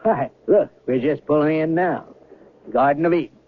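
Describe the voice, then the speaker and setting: An actor playing a brisk, matter-of-fact train conductor in 1970s radio drama, with an officious, questioning manner. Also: male voice, a little high, lightly acted. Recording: thin phone-like old radio recording, noisy.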